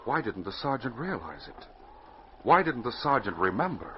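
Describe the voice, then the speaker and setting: A man's voice: mid-range voice, sing-song, moderate pace. A man with a North American accent announcing and narrating a 1940s radio adventure in a dramatic, emphatic way. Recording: muffled, hissy 1940s radio recording.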